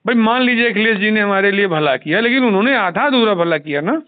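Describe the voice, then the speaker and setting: High-voiced man on a tinny phone line. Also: expressive, fast talker. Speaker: union leader. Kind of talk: informal phone discussion. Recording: tinny recorded phone call.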